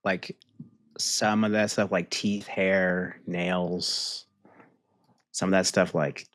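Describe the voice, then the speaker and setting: Deep voiced guy, casual podcast talk, understated and kinda halting, very dry recording. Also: flat delivery, a bit bright, no echo. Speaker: podcast participant. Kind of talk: casual chat.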